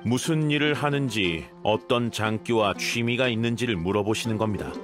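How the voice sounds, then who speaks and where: A man's voice: on the low side, normal speed, close to an everyday voice. A man voicing a courteous, dignified raven retainer in an anime game, formal and deferential. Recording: music behind the voice.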